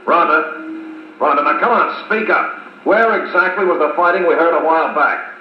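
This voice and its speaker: An actor playing a brash, eager junior agent in a 1950s radio serial. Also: male voice, fairly high pitch, normal speed, natural voice. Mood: puzzled, insistent. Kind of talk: 1950s radio drama acting. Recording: muffled, echoey, noisy 1950s wire recording.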